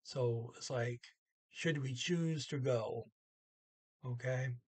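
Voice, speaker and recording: Slow, husky older man teaching. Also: mid-range voice, darkish tone. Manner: halting, deliberate, with pauses between words. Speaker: language teacher. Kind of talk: informal Norwegian lesson, word-by-word glossing. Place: small room indoors at home. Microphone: webcam or computer mic at arm's length.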